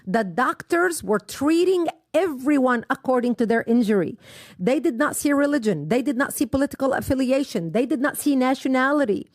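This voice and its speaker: Impassioned high-voiced woman from Lebanon. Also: expressive, moderate pace, dry recording, studio quality. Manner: impassioned, emphatic storytelling. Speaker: guest. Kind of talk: personal testimony in an interview.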